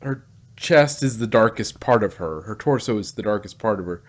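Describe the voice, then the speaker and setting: Guy with a lowish voice just chatting, kinda hesitant, keeps restarting and rewording stuff. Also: animated, moderate pace. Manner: hesitant, repeats and rephrases himself. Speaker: podcast host. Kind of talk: casual chat.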